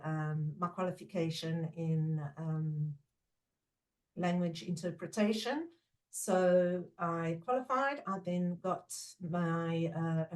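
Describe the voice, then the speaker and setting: A middle-aged woman with a lowish voice, speaking English as a second language after Portuguese. She talks in a thoughtful, measured way, pausing with fillers as she gathers her points. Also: a little mellow, low-quality recording. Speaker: guest, trainer and former teacher. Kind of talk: reflective podcast conversation.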